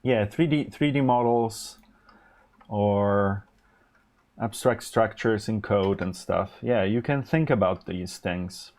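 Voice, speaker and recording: A man's voice: on the low side, takes it slowly, darkish tone. A man whose first language is Polish, hosting a live stream and chatting casually while he works, rambling with many fillers and restarts. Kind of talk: casual chat while designing electronics.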